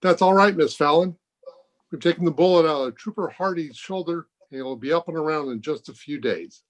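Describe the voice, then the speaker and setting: Brief: open-minded hospital doctor, acted by a man, slightly high male voice, firm and measured, old-time radio mystery. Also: natural voice. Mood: reassuring. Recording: home video-call recording.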